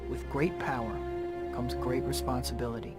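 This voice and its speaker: An actor playing an earnest, wry young American student who is secretly a superhero, in scripted game cutscenes. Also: male voice, mid-range voice, little pitch movement, moderate pace, lightly acted. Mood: wry, reflective. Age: young adult. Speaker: hero and narrator. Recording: music behind the voice.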